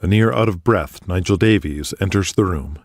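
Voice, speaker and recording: A man's voice: low-pitched, normal speed, background noise. A man reading dramatic scripted narration as the narrator of an audio drama.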